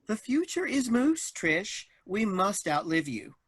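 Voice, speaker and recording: Man playing a husky, singsong menacing robot. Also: sits high, sing-song, slow, a bit bright, exaggerated voice. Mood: mock-helpful. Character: polite, menacing male robot in a boxy body. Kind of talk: theatrical staged reading.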